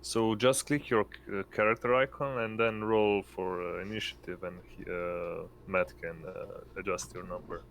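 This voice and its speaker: A man's voice: sits low, steady tone, normal speed, creaky, hardly any pauses, fairly bright tone. A man playing an online tabletop game, casually talking through game details. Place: online voice call. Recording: noticeable background noise on voice call.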